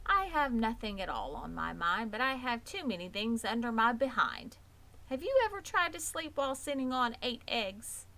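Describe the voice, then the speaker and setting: A woman's voice: fairly high pitch, big pitch swings, normal speed, bright, forceful tone, pitched above the performer's own voice, heavily acted. A woman voicing a fussy, chatty mother goose who repeats parts of her words, in a children's storybook reading. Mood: brisk, unbothered. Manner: repeats syllables of words, matter-of-fact.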